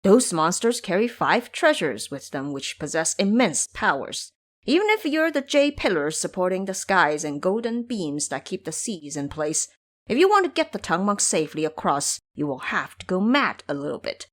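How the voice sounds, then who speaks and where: A woman's voice: fairly low pitch, very animated, normal speed. A woman voicing an exasperated male woodcutter in an audio drama, scolding and blunt with emphatic delivery.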